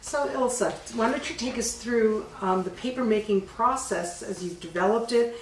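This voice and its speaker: A woman's voice: fairly high pitch, moderate pace. A woman hosting an arts interview in a studio, speaking to her guest and audience in a gracious, presenter-like way. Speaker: host, interviewer. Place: artist's studio workshop. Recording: echoey, distant, clearly noisy workshop recording.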